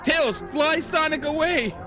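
A young man giving casual, excitable movie reaction commentary full of slang and swearing, close on a microphone. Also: extremely high pitch, unhurried, music in the background. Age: young adult. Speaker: reaction video host. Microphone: close studio microphone, headset monitoring.

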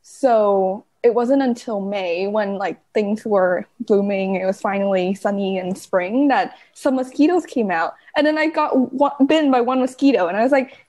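A young woman from Vietnam, a climate journalist guest, telling stories in a casual, giggly, animated way. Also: mid-range voice, normal speed, few pauses. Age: young adult. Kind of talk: casual interview chat.

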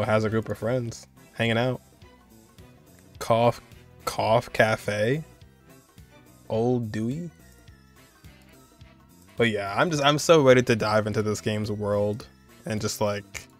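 A young adult man hosting a gaming reaction video with casual commentary. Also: on the low side.

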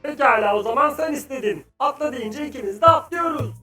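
A woman voicing a playful, teasing little creature in a children's educational cartoon, lively and exclamatory. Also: high-pitched, fairly quick. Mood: upbeat. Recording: roomy, distant sound with faint music.

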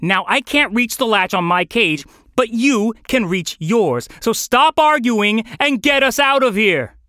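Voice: high voice, wildly swooping pitch, takes it slowly, fairly bright tone, no room sound, clean studio sound, pitched above the performer's own voice, heavily acted. A narrator voicing an irritable, desperate male bird in an audio story, snappish and exclaiming. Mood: exasperated. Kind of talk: audio fiction character voice.

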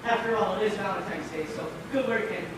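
A man's voice: sits high, fast, close to an everyday voice. A young man plays a courteous old-time radio show host with a dry, bossy streak. He is heard from a distance on a very echoey stage, with noticeable noise behind him. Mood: calm, businesslike. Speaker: actor in a school one-act play. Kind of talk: stage acting of a 1940s-style radio show. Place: school auditorium stage, recorded from afar.

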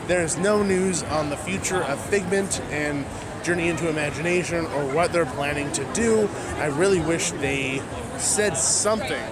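High-voiced man over loud crowd din. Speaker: podcast co-host. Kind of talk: casual podcast chat about a fan event.

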